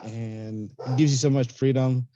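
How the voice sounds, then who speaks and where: A man on a video call talking about financial freedom from investing, pausing often to search for words. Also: mid-range voice, fairly even intonation, highs cut off, background noise. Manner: searching for words, many fillers. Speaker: real estate investor guest. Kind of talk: casual reflective talk on a video call.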